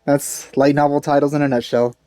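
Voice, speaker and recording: A man's voice: on the high side, little pitch movement, a little fast, dark tone. A man giving casual let's play commentary on a video game. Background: faint game music.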